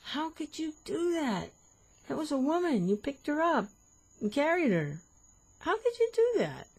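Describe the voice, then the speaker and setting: A woman doing a shrill, scandalized monk. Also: sing-song, unhurried, a little mellow, heavily acted. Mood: indignant. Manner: shrill, rising, repeats himself in disbelief. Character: indignant celibate male monk, scandalized and nagging.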